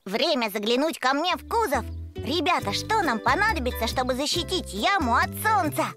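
A woman's voice: high-pitched, sing-song, moderate pace, over music. A woman voicing a friendly, helpful young truck in an educational cartoon, eagerly addressing small children.

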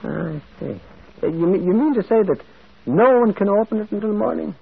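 A man's voice: sits high, expressive, normal speed, creaky, slightly rough, exaggerated voice. An actor playing a mild, inquisitive priest sleuth in an old radio drama, hesitant and repeating his words. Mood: politely probing. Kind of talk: 1940s radio acting. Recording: muffled, phone-like old radio recording.

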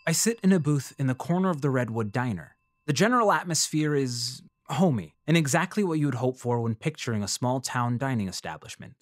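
An actor playing a polite, measured freelance journalist who narrates his investigation in a fiction podcast. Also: mid-range voice, lively intonation, no echo, close to an everyday voice. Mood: somber, observant. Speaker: narrator and lead character.

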